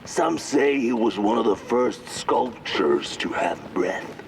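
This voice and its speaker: A weathered, mysterious storyteller, narrates a game tale slowly. His low voice is gravelly and sounds set back from the microphone, with clear noise behind it. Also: animated, distant mic, full character voice. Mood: hushed, mysterious.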